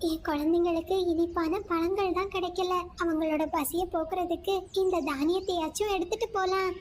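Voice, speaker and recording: Tiny, very high-pitched mother sparrow. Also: steady tone, normal speed, a little hiss or hum. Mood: caring. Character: gentle, caring mother sparrow and her chirpy young chicks. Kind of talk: cartoon moral-story voice acting.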